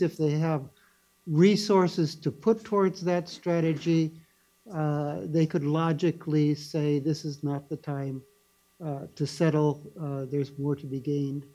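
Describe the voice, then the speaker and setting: A man's voice: on the high side, dark tone, poor audio. A man moderating a policy panel, summing up the talks in a hesitant way with frequent "uh".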